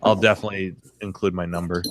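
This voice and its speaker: A man co-hosting a casual group chat, talking haltingly with restarts and making light jokes. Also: low-pitched, flat delivery, a little hiss or hum.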